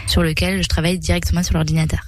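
A 25-year-old woman from southern France, born in Colombia, describing her own work in a halting, hesitant way for a radio portrait. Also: on the low side, level tone, noisy background. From born in Colombia, grew up in Aveyron, southern France from age five. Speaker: young entrepreneur running an eco-friendly shop. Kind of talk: personal testimony in a radio portrait.

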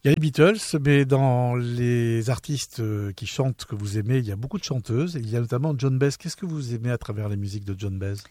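A male radio host asking a guest measured questions in an interview. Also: medium pitch, warm tone, dry recording. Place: radio studio.

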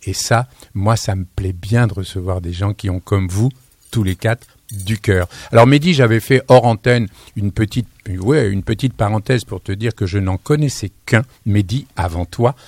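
A man's voice: on the low side, normal speed, darkish tone, no echo. A man hosting a radio show from a studio, chatty and exclamatory, wandering into asides as he presents and interviews his guests. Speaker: radio host. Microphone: studio broadcast microphone.

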